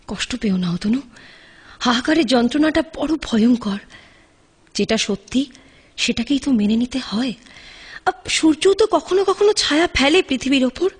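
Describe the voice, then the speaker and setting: Audio drama: tender, earnest young woman, highish pitch, slightly breathy, expressive delivery. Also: exaggerated voice. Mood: tender, consoling. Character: tender young female medical student in love. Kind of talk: Bengali audio play acting with monologue.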